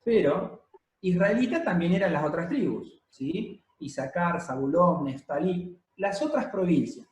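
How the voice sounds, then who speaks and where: Male preacher, higher register, teaching sermon delivery with hesitant pauses, into a mic in a reverberant hall. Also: expressive. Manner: explanatory teaching, frequent pauses and fillers. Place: church hall, congregation present and streamed. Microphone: handheld or stand microphone. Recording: echoey room.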